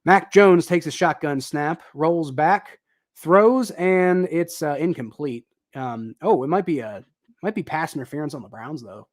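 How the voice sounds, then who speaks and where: A young man doing casual live football commentary, thinking out loud with lots of ums and uhs, his voice a little dry and raspy. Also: a little high, unhurried. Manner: rambling, thinking aloud with many ums and uhs. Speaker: livestream host commentating football.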